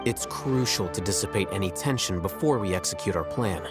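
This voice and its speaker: A man playing a calm, self-assured, scholarly strategist in an anime video game, matter-of-fact and slightly smug. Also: sits low, fairly quick, close to an everyday voice. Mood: composed. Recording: loud music behind the voice.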